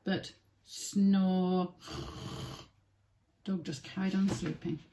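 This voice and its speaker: A woman's voice: lowish voice, fairly even intonation, extremely slow, noisy background. A woman reading a picture book aloud to young children with simple phrasing and dramatic emphasis. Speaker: early years story reader.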